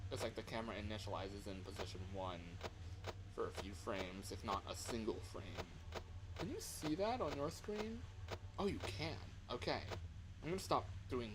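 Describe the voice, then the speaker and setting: A man's voice: lowish voice, big pitch swings, normal speed, background noise. A man doing casual, slangy gaming commentary as a livestreamer, with exasperated exclamations. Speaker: livestreamer playing a horror game.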